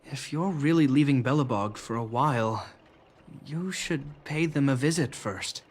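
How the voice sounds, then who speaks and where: A man voicing an earnest, dutiful younger brother and soldier in a video game, measured and gently chiding. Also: medium pitch, lively intonation.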